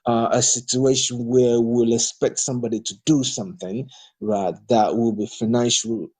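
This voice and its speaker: A man's voice: mid-range voice, fairly even intonation, normal speed, a little mellow, husky. A man from Nigeria, speaking for a political party in an audio discussion, hesitant with many fillers and restarts but growing emphatic when criticising politicians. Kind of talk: audio discussion replying to a participant.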